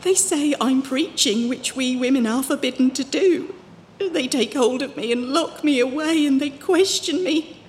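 Woman playing a high-voiced, fervent religious visionary. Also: measured pace. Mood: fearful. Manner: earnest, emotional, pious. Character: fervent, fearful wandering female religious visionary. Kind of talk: audio drama acting. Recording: reverberant hall, faint background noise.

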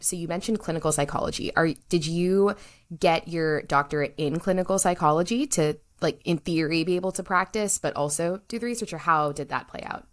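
A woman's voice: deep voice, fairly bright tone. A woman hosting a podcast, asking long, rambling questions full of filler words. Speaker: podcast host. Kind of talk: casual interview talk.

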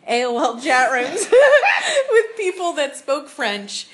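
A woman's voice: high voice, wildly swooping pitch, takes it slowly. A woman from Ohio with a Midwestern accent, co-hosting a podcast in casual, chatty talk and laughing easily. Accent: Midwestern American. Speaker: podcast co-host. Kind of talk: casual chat.

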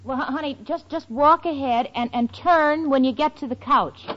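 A woman's voice: sits high, moderate pace, fairly bright tone. An actress playing a wry, long-suffering young woman in a 1940s radio sitcom. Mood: impatient, coaxing. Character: exasperated, wisecracking young female roommate. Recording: muffled old radio, studio audience, hiss.

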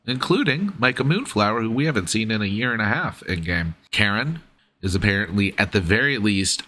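Congested, hoarse-edged male game master. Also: sits low, fairly bright tone, slight background noise. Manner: breezy and irreverent. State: sick, congested, partly losing his voice. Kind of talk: recapping a tabletop game podcast.

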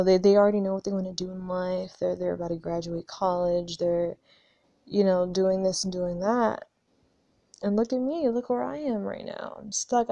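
Gravelly-voiced young woman musing aloud. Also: medium pitch, dark tone, no room sound. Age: 20s. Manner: rambling, candid, swears freely, frequent restarts. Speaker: spiritual vlogger. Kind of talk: casual reflective monologue.

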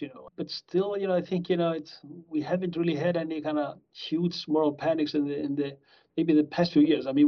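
A middle-aged man from Iceland, a professor being interviewed, who rambles with many fillers and restarts. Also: a little high. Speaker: guest criminology professor. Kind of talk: conversational interview answers. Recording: slightly dull lo-fi audio.

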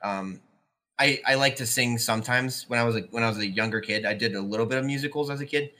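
Bright-voiced young man rambling casually. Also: medium pitch, fast talker. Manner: rambling, many ums and restarts. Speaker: podcast co-host. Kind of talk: casual podcast chat.

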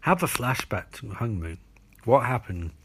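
A man's voice: medium pitch, sing-song, normal speed, a bit bright, husky, full character voice. A man playing a knowing best friend in a comic horror tale, grave, questioning and portentous. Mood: urgent, ominous.

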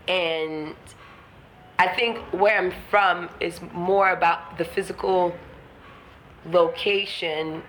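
A woman's voice: on the low side, a bit bright, a little hiss or hum, rough recording. A woman from Ghana talking frankly and reflectively in an interview, laughing often and swearing casually. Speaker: guest artist.

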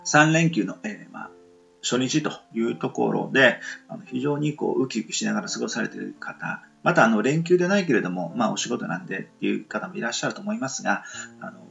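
Halting man with lively pitch, creaky voice. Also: dull audio, faint music behind. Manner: halting, apologetic, self-deprecating; polite and humble. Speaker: podcast host introducing web novels. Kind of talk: casual solo radio talk.

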